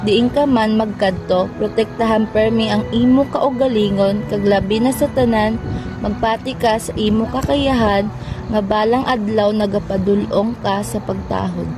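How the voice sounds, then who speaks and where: A woman acts out a caring old female street vendor in a radio drama, with a Filipino accent. She speaks at a middle pitch in earnest, motherly counsel, with clear music playing behind her. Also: steady tone, normal speed, natural voice, low-quality recording. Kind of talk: amateur radio drama acting.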